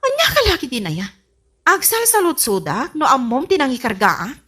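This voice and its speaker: A woman's voice: high voice, wildly swooping pitch, normal speed, a bit bright, breathy edge, heavily acted. A woman acting a strict, irritable wife and mistress of the house in an Ilocano radio comedy-drama, with an Ilocano Filipino accent and a scolding, imperious manner. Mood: bossy, irritated. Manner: imperious, scolding, easily exasperated. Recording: music under the voice.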